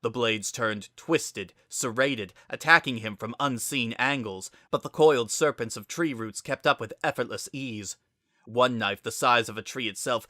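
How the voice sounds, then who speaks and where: Bright, forceful male story narrator. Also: mid-range voice, no room sound, clean studio sound. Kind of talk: dramatic scripted story narration.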